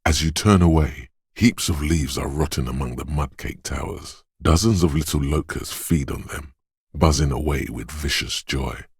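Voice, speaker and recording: An inner voice of perception for a video game, extremely deep and heavily husky. The delivery is slow and hushed, like careful atmospheric narration. It is watchful and poetic, savoring every small sound, in a dry, close recording. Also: male voice, no room sound, heavily acted. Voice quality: husky, gravelly, breathy.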